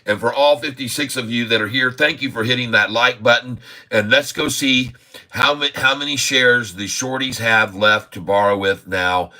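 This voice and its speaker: A man's voice: mid-range voice, normal speed, pushed and bright. A man in his sixties hosting a casual live stock chat, jokey and upbeat, chuckling often between remarks. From Haiti. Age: 60s. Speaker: stock livestream host.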